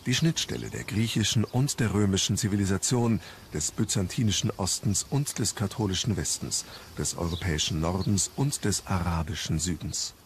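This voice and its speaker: A man reading scripted documentary narration in a measured storytelling manner. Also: deep voice, a little hiss or hum.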